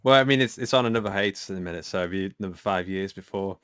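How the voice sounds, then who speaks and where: A man's voice: sits low, rapid-fire, a bit bright, clean tone, no echo, studio quality. A man speaking as a podcast guest, casually recounting his creative work in a self-deprecating way. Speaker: podcast guest, author and filmmaker.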